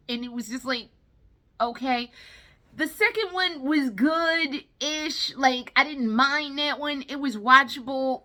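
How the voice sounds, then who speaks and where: Woman, high bright voice, casual vlog rant, blunt, slangy, exasperated, swears freely. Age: adult, no longer young. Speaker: vlogger reviewing films. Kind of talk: casual ranting commentary, chatty.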